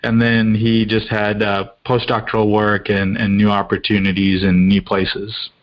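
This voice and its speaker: Lower-voiced man, slightly muffled audio. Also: monotone, a little slow. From India-born, grew up in Australia, England and the US. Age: middle-aged. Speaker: guest, coach. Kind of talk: conversational interview answers.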